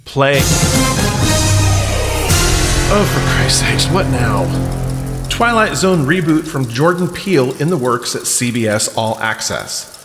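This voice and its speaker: A man's voice: medium pitch, lively intonation, takes it slowly, pauses often, over music. A man hosting a podcast in a casual, wry, self-deprecating and informal way. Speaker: podcast host. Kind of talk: casual podcast talk with read-out news items.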